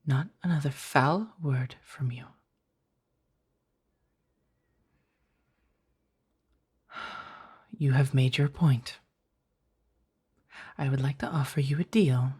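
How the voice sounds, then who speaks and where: A woman acts out an ancient female vampire for an ASMR audio drama. The voice is very low and husky, with slow, formal, hushed phrasing. The vampire comes across as stern and fiercely protective. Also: full character voice. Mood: stern, warning. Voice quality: breathy, husky. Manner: measured, formal, hushed delivery.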